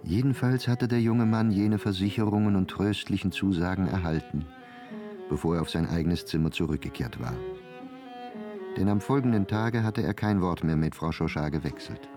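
Low-voiced male radio play narrator. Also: moderate pace, music in the background.

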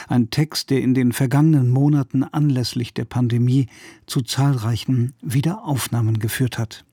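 A man presenting a radio play with a formal, scripted introduction and deliberate phrasing. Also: mid-range voice, mellow, breathy edge, no echo, clean studio sound.

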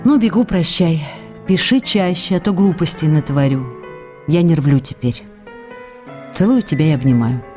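An actress playing an affectionate, emotional stage actress reading out her letters to her lover in a radio play, expressive and intimate. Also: deep voice, normal speed, faint music behind, lightly acted. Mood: anxious, affectionate. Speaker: actress in an audio drama.

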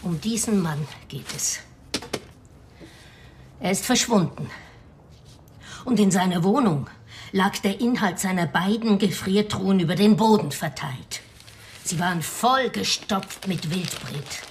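An actress playing a grave, anxious middle-aged widow from the provinces in a radio crime drama, speaking hesitantly and with foreboding. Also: female voice, mid-range voice, measured pace, long pauses, close to an everyday voice. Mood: reserved, worried.

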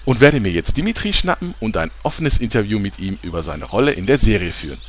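A man's voice: fairly low pitch, very animated, fast talker, slight background noise. A man playing a pompous, sleazy, easily flustered TV reporter in an audio parody, with dramatic announcer delivery.

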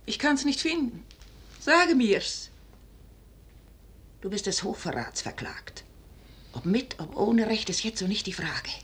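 An actress playing a forceful, scheming noblewoman in a 1950s German radio drama, declaiming insistently in classical stage style with a husky voice. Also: medium pitch, big pitch swings, slow pace, pauses often, full character voice. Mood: pressing, intense. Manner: rhetorical, insistent, theatrically declaimed. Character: forceful, scheming noblewoman urging a wavering commander to seize power.

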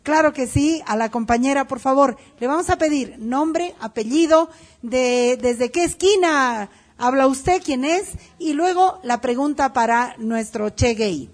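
A woman with a slightly high voice moderates a public discussion. She is direct and lively, pushing back on her guest and calling on the audience. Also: a little slow. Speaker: forum moderator.

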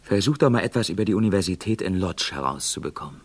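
A live reporter in a vintage crime radio play. His voice sits low as he describes what he sees. He comes across as keen, wry and excitable. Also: fast, slight background noise. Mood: astonished. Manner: narrating events as they happen, wry. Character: keen male live reporter named Jimmy, wryly excitable.

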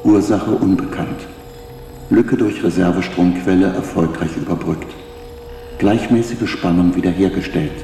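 An older actor playing a polite, servile computer with a scratchy voice, formal and measured, in reverb. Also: male voice, deep voice, steady tone, vocal fry, warm tone. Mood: calm, courteous. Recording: long echoing reverb, music behind.

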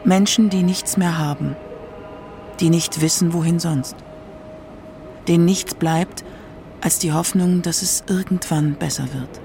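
A man narrating a scripted radio documentary in a measured, solemn manner. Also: on the high side, steady tone, darkish tone, faint music behind.

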